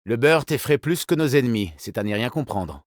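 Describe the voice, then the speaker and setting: A male actor voices an elegant, self-admiring arms designer from a video game. His mid-pitched delivery swings with lively, theatrical inflection, polite on the surface and smoothly ironic underneath. The sound is dry and close, with no room around it. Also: normal speed, no room sound, lightly acted. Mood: suave, self-assured. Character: suave, vain, elegant male weapons designer and agent. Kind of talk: video game character voice lines.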